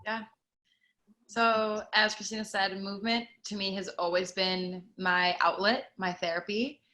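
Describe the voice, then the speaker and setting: Bright-voiced woman in her thirties. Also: medium pitch, fairly even intonation, measured pace. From Costa Rica. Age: 30s. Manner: earnest, reflective, frequent fillers. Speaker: guest, fitness instructor. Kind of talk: casual panel chat.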